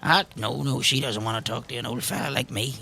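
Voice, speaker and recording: Man playing a gravelly, evasive old pub drinker. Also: mid-range voice, pushed and bright, slight background noise. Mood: curt, indignant. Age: older. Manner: halting, defensive, repeats himself. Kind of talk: improvised tabletop roleplay.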